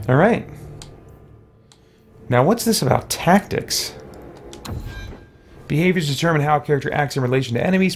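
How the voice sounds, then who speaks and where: A man's voice: on the high side, vocal fry, slight background noise. A man giving casual gameplay commentary, musing and talking to himself as he goes. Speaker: gaming let's-play host.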